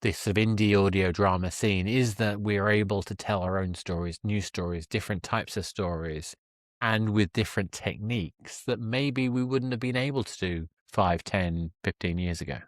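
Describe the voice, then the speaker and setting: A man's voice: fairly low pitch, normal speed, no echo, studio quality. A man with a British accent, hosting a podcast interview in a conversational, explanatory way. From Britain. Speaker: podcast host.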